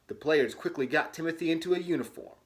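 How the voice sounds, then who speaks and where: A man with a slightly higher-pitched voice reads a children's storybook aloud in dramatic fashion. He drops into casual, wry asides with a tongue-in-cheek manner. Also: lively intonation, fast talker. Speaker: host reading a children's book aloud with asides. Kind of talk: dramatic storybook reading broken by casual joking commentary.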